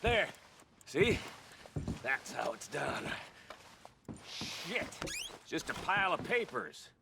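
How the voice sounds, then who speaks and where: An actor playing a cocky, griping American gunslinger in a western video game, with a sardonic drawl. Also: male voice, on the high side, very animated, slow, creaky, full character voice. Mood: impatient. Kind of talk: dramatic video game acting. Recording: noisy game ambience with clicks.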